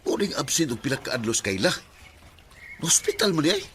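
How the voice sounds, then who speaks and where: A man's voice: a little high, sing-song, fairly quick, very noisy. An actor playing a blunt, teasing young male buddy in a radio drama, with a Filipino Bisaya accent.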